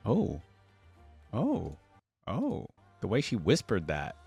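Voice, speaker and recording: Low-voiced man, casual reaction host. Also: wildly swooping pitch, takes it slowly, vocal fry, darkish tone, slightly rough, soft background music. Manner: conversational, rambling. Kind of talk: casual commentary to viewers.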